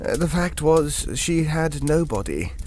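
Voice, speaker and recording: An actor with a British accent playing a hesitant, reassuring gentleman who tells an eerie tale in an audio drama, halting and conversational. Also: fairly high pitch, lively intonation, a little hiss or hum. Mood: reflective, uneasy. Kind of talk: audio drama ghost story narration.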